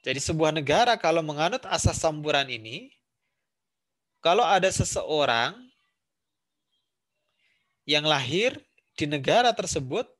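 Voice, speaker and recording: A man's voice: fairly high pitch, animated, a little slow, pauses often, fairly bright tone. A man lecturing on civics with an Indonesian accent, explaining step by step with examples and repeating key points. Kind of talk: recorded university lecture.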